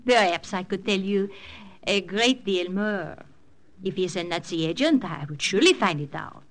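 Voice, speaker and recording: A woman's voice: mid-range voice, expressive, normal speed, close to an everyday voice. An actress in a 1940s radio drama playing a flirtatious French woman with a French accent, teasing and lilting. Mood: coaxing. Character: coy French woman, teasing spy helper.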